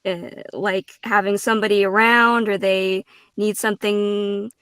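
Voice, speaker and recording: A woman with a smooth, clean voice speaks slowly and haltingly in a dry, close recording. She sounds earnest and informal, thinking her way through a reflective answer. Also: medium pitch, little pitch movement, a bit bright, no room sound. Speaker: guest voice actor and streamer. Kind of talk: casual, reflective interview answer.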